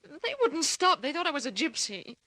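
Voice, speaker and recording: A woman's voice: high-pitched, big pitch swings, moderate pace, bright, forceful tone, no echo, clean studio sound, full character voice. An actress playing a frightened young woman, pleading urgently, in an old radio crime drama. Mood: desperate pleading. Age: young adult.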